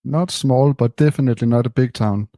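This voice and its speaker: Relaxed man, mellow voice. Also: mid-range voice, no echo, clean studio sound. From Denmark. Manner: relaxed, unhurried, many fillers. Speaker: band member, guest. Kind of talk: casual interview answers.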